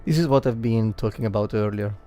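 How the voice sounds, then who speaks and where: A man livestreaming game commentary and analysis, thinking aloud haltingly and repeating words as he works out his points. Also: fairly low pitch, warm tone.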